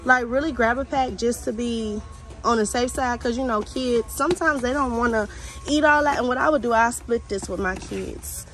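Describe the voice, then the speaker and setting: High-voiced American woman vlogging casually. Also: moderate pace, fairly bright tone, soft background music. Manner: informal, conversational, addresses viewers directly. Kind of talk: casual shopping vlog chat. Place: inside a large warehouse store. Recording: faint store ambience noise.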